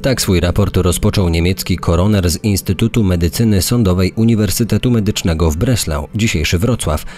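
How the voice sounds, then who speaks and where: A man reading scripted true-crime narration in a measured, serious manner. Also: low-pitched, darkish tone, faint music behind.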